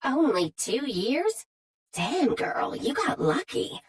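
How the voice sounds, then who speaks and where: A woman voicing a bubbly, excitable Southern woman in her early thirties, with a Southern accent and a husky edge. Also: high-pitched, slow pace, voice pushed up, heavily acted. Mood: excited. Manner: gushing, excitable, chatty.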